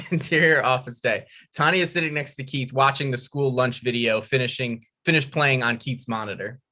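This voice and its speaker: A man's voice: medium pitch, moderate pace, sharp tone. A man narrating a script's stage directions at a table read.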